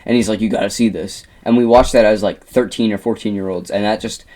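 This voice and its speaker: A young man co-hosts a casual podcast with his friends. His voice is slightly lower than average. He speaks conversationally, as in relaxed everyday chat. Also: quick pace. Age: young adult. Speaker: podcast co-host.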